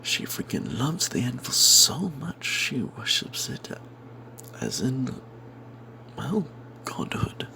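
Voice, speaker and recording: A man narrating a fantasy role-playing tale in first person as ASMR, in a breathy, secretive whisper, rambling wryly as if not to be overheard. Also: medium pitch, huge pitch swings, slow, pauses often, pushed and bright, a little hiss or hum, low-quality recording. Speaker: storyteller narrating a tabletop game tale.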